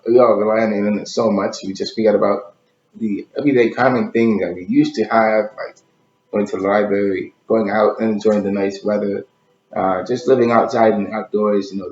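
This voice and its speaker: A man as a guest in a casual chat, answering in a slow, thoughtful way with pauses. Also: fairly low pitch, darkish tone. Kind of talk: casual conversation. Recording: roomy, dull lo-fi sound.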